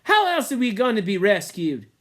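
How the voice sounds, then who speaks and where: A man's voice: high-pitched, very animated, moderate pace, pitched above the performer's own voice. A man voicing an anxious, fussy schoolboy with asthma, pleading and insistent. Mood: urgent.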